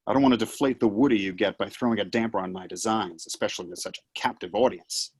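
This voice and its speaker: A man playing a sardonic, silver-tongued Satan in a play reading, theatrical and taunting, with a husky voice. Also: lowish voice, fast. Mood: sarcastic taunting. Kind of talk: virtual table read of a stage play.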